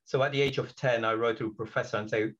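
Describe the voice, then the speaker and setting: A man from Belgium, whose first language is not English, speaking as a podcast guest in a reflective way with many pauses and restarts. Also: mid-range voice, steady tone, a bit bright, highs cut off. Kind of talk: podcast interview.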